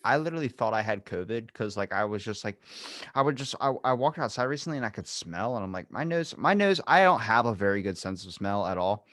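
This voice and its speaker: A man's voice: lowish voice, lively intonation, a little fast, fairly bright tone, no room sound, clean studio sound. A man hosting a podcast in casual chat, rambling from thought to thought and swearing freely. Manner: rambling, profane, uses quoted asides. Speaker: podcast host.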